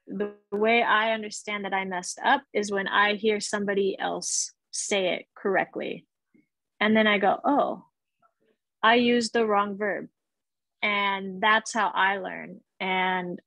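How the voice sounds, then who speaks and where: A woman's voice: medium pitch, fairly bright tone, dry recording, rough recording. Chatty woman lecturing over video call. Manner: chatty, checks in with listeners, laughs easily. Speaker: guest lecturer. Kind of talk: casual explanatory teaching over video call. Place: small indoor room. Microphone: earbuds on a video call.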